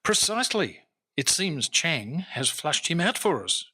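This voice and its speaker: Man playing a shrewd, bright-voiced husky period detective. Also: fairly high pitch, sing-song, measured pace, no room sound, full character voice. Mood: dry, knowing. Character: shrewd, wry male Victorian-era customs inspector, narrating his cases. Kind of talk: old-time radio detective drama, narration and dialogue.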